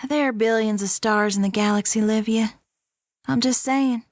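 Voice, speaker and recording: A woman's voice: a little high, steady tone, normal speed, no room sound, clean studio sound, lightly acted. A woman playing a blunt, protective spacefaring friend in an audio drama, frank and casually teasing. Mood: blunt, concerned.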